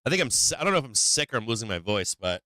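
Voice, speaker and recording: A man hosting a stock market livestream, walking through charts in casual live commentary, halting and restarting as he searches for the right words. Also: mid-range voice, big pitch swings, bright, forceful tone, dry recording.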